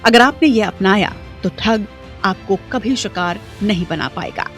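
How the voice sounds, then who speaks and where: A woman's voice: mid-range voice, unhurried. A woman reading a scripted news voice-over with punchy, dramatic phrasing. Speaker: news report narrator. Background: faint music.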